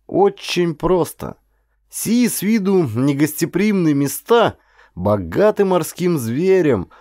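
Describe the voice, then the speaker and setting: A man narrating a historical adventure novel as an actor would, in an expressive storyteller's manner with colloquial asides and drawn-out phrases. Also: fairly high pitch, dry recording, clean studio sound.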